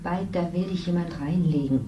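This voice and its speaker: A woman's voice: lowish voice, moderate pace, lo-fi sound, lightly acted. A woman playing a skeptical worried wife. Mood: doubtful. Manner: doubtful, protesting. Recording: roomy distant radio play with music.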